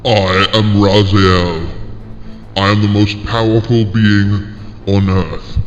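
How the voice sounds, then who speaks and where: A man's voice: fairly low pitch, flat delivery, slow, slight background noise. A man playing an arrogant ancient demon who declaims his power and flares into anger. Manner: proud, declaiming. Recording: reverberant lo-fi fan audio drama.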